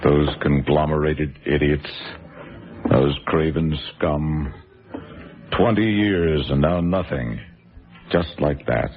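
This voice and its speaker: A man's voice: a bass voice, slow pace, full character voice. An actor playing a man muttering to himself and scheming in a radio drama. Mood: bitter. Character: scheming middle-aged male TV producer. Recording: tinny radio recording with loud music.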